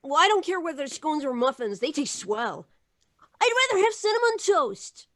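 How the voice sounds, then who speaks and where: Brash, high-pitched young boy. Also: very animated, moderate pace, fairly bright tone. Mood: eager, cheeky. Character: brash, excitable, greedy young American boy. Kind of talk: old-time radio drama acting.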